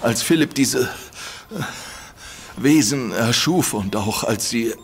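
A husky, desperate sick man. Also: animated, exaggerated voice. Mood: desperate urgent. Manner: halting, stumbling pleas, pitch jumping when agitated. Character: infected man fearing madness, urgent and desperate. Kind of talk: radio play acting.

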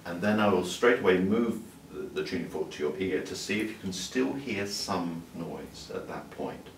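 An older man, a doctor, calmly explaining and demonstrating a hearing exam in a clinic room, with small restarts. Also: sits low, moderate pace, creaky. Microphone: far camera mic. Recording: roomy, distant sound with faint room hiss.

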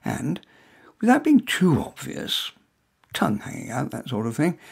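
An English man narrates a comic short story in the first person. His voice is husky and creaky, with a very animated pitch that rises and dips through droll, conversational asides. The delivery is wry, like an author reading his own work.

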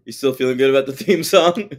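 A man's voice: medium pitch, brisk. A man co-hosting a podcast, casually chatting about a TV episode in a joking, blunt way. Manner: jokey and blunt, calls co-host "bro". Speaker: podcast co-host. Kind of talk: casual chat recapping a TV episode.

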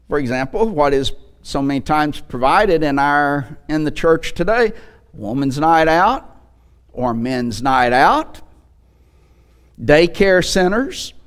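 An older man in his sixties preaches from a church pulpit. His voice sits high for a man and he takes his time, speaking slowly. He drives points home with rhetorical questions and emphatic repetition. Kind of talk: sermon. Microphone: headset microphone.

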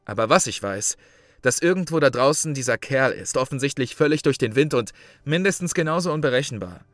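A man's voice: mid-range voice, lively intonation, a little fast, no echo, faint music behind, natural voice. An actor playing a sober, pragmatic male survival contestant in a horror audio drama, speaking matter-of-factly. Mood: calm, pragmatic. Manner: matter-of-fact.

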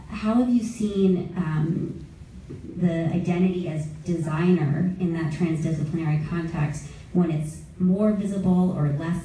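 Mellow-voiced woman moderating in a big hall. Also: lowish voice, slight background noise. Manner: thoughtful, searching phrasing with fillers. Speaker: panel moderator. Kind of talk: posing a panel question. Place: conference room panel, large hall. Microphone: table mic, recorded from the audience. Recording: echoey hall from far off.

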